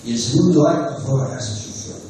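A man in his sixties with an Argentine Spanish accent, giving a measured, earnest formal speech at a desk microphone in a wood-panelled university hall. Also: mid-range voice, dark tone, slight background noise. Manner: measured and earnest, gestures with his hands, pauses often mid-phrase. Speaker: speaker at a book presentation. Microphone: desk microphone on a stand. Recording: very echoey hall, voice picked up from a distance.

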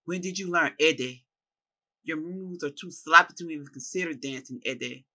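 A narrator voicing a seasoned male martial arts master who talks in a low, steady, explaining way. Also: moderate pace. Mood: instructive.

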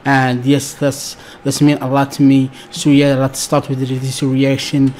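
Halting Algerian man hosting reactions. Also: mid-range voice, monotone, a little mellow, a little hiss or hum. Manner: halting, searching English with many restarts. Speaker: reaction video host. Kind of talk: casual reaction commentary. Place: small dark room indoors. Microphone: desk mic close to face.

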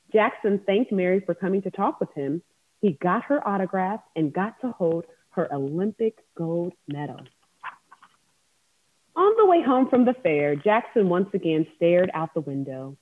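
Animated woman hosting children's story time. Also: mid-range voice. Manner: encouraging, teacherly. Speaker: author and event host. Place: room at home, indoors. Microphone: video call, wireless earbud. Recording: dull video-call audio.

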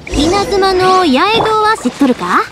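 An actress playing a cheerful young fireworks-shop owner with a Kansai accent, lively and chatty. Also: female voice, very high voice, unhurried. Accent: Kansai Japanese. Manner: lively, chatty, warm teasing. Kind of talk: game voice acting. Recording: game audio with music behind.

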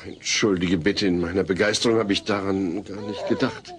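An actor playing a gentle, patient middle-aged father in a German sitcom audio drama. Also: low-pitched, fairly even intonation, normal speed, close to an everyday voice. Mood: encouraging.

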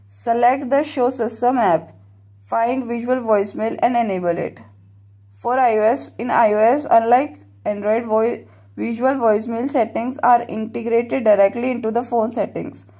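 A woman narrating a scripted step-by-step tutorial, reading aloud somewhat haltingly. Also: a little high, mellow, slightly rough. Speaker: tutorial presenter.

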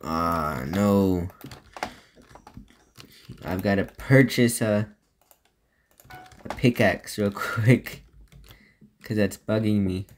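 A woman's voice: rumbling low, steady tone, very slow, pauses often, a little hiss or hum. A woman with a Southern US accent giving solo gameplay commentary, rambling and talking to herself between moves. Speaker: gaming YouTuber.

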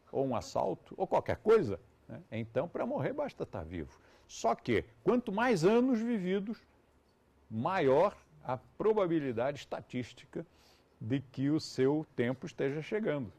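Lively older Brazilian man lecturing. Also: medium pitch. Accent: Brazilian Portuguese. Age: 70s. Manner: conversational, ironic asides. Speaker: yoga teacher. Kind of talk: live lecture to students.

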